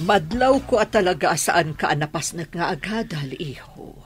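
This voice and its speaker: A woman playing a stern, doubting old grandmother in a radio drama, with a husky, admonishing delivery. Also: lowish voice, animated, moderate pace, over music, natural voice. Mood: doubtful, admonishing. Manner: admonishing, questioning.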